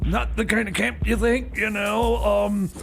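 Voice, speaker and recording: A man playing a bumbling, grumbling stand-in DJ on a comedy radio show, halting, stammering and scatterbrained. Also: sits high, flat delivery, gravelly, background noise. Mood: muddled, grumbling. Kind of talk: comic radio theater DJ patter.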